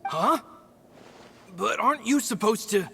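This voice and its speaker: A man's voice: sits high, expressive, unhurried, vocal fry, a bit bright, in a large hall, slight background noise, full character voice. A man voicing a loyal, polite aide to a boss in a video game. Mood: surprised, welcoming.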